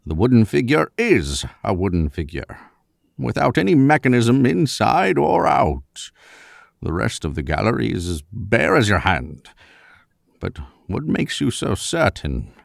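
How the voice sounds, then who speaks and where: An actor playing a dignified, learned elderly professor in an old-style radio mystery, formal, measured and dryly courteous. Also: male voice, lowish voice, wildly swooping pitch, no room sound, studio quality, heavily acted. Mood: dry, reasoning. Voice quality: creaky.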